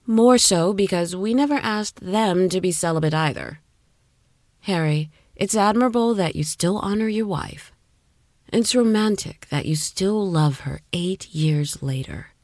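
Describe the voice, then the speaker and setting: A woman playing a patient, knowing warrior angel in an audio drama, speaking in a measured, formal way. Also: on the low side, a little mellow, dry recording, studio quality. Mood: gentle, earnest.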